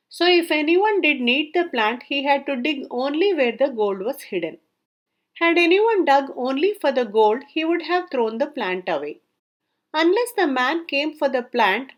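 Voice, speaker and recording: A wise, commanding storybook king read by a woman speaks in a high-pitched voice. The manner is formal and measured, made for questioning subjects and explaining judgments. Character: wise, commanding male king of an old tale. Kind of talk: storybook reading.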